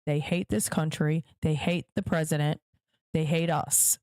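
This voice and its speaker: A woman's voice: sits low, steady tone, measured pace, darkish tone, smooth, no room sound. Emphatic woman hosting a solo podcast. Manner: emphatic, repeats points for stress; direct address to viewers. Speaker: podcast host. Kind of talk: solo political commentary podcast.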